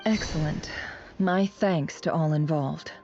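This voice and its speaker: A woman playing a resolute, sharp-witted fighter in a video game, composed, clipped and confident. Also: sits low, slow. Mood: calm resolve. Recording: muffled game audio under loud music.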